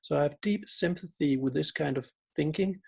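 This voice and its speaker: A man's voice: fairly high pitch, normal speed, creaky, breathy edge. A man with a Danish accent, a philosopher giving a reflective conference talk in English as a second language, often restarting and searching for words. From Denmark. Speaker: philosopher and researcher.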